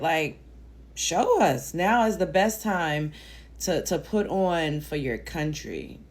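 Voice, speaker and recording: Woman host, Brooklyn accent, low voice, blunt rambling commentary. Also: darkish tone. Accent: Brooklyn, New York. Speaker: reaction video host. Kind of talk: casual commentary monologue.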